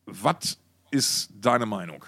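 A man's voice: on the low side, animated, slow, creaky, sharp tone. A man co-hosting a music podcast in casual, slangy talk, often thinking aloud and repeating himself mid-phrase. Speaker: podcast co-host.

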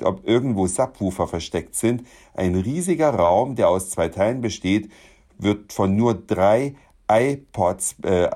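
A man with a somewhat low voice who is a little hoarse today. He talks in a chatty, enthusiastic way as he reports on his trip. He restarts small phrases as he goes along. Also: a little mellow. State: slightly hoarse. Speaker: podcast host. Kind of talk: casual travel report.

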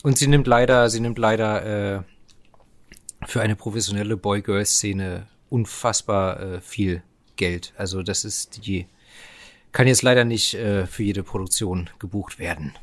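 A man's voice: fairly low pitch, fairly even intonation, measured pace. A man co-hosting a music podcast, chatting casually in a wry, bantering manner. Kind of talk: casual chat.

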